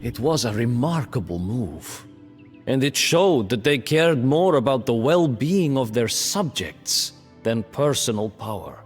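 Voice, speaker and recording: A haughty, imprisoned nobleman telling his bitter tale in a game cutscene. He speaks slowly and theatrically, his pitch rising and falling widely. The voice rings with long reverb. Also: sing-song. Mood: grave, proud. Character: bitter, proud imprisoned Lithuanian nobleman recounting his downfall. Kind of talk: dramatic storytelling narration for a strategy game cutscene. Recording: long reverberant hall, music underneath.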